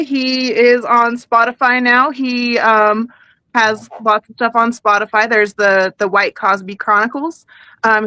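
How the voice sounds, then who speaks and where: A woman's voice: on the high side, sharp tone, dry recording, low-quality recording. A woman guest chatting casually about her small business, slangy and sweary. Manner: slangy, profane. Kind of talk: casual chat, plugs and shout-outs.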